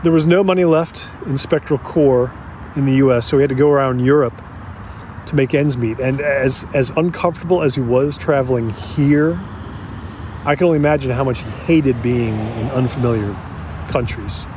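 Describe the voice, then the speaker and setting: An actor playing a regretful man confiding in an interviewer, in a halting, reflective, conversational way for an audio drama. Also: medium pitch, darkish tone, a little hiss or hum, natural voice.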